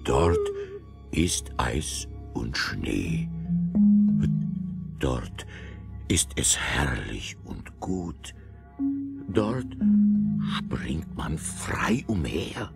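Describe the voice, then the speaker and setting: Casting: proud old reindeer, extremely low and creaky, drawn-out solemn delivery for a fairy-tale audio play. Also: male voice, big pitch swings, over loud music, heavily acted. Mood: wistful. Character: old male reindeer, slow, proud, homesick. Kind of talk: radio play acting.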